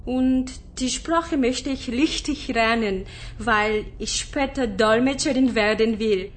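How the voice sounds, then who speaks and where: Woman voicing a gentle young student, slow and careful, higher pitch, language-course drama. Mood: earnest. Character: gentle young female student from Hong Kong.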